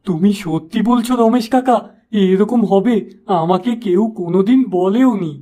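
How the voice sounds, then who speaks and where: A man's voice: high-pitched, normal speed, dark tone, natural voice. A man voicing a gullible, starry-eyed young village shop lad in a cartoon, eager and earnest. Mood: eager, awed. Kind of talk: Bengali cartoon fable voice acting.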